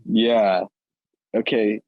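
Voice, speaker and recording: A man hosting a casual interview podcast in a relaxed, laid-back way, laughing easily. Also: fairly low pitch, very slow, no room sound, narrow, tinny audio. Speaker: host.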